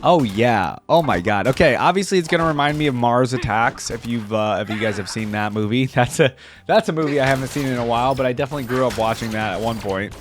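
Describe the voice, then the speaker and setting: A man's voice: medium pitch, fast talker, a little hiss or hum. A man giving casual reaction commentary as a video creator looking over game content.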